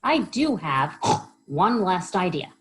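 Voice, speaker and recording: A woman's voice: lowish voice, very animated, slow, a bit bright, exaggerated voice. A woman voicing a helpful, eager pig in a children's storybook, giving advice in an emphatic, encouraging way. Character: helpful, eager pig friend full of ideas.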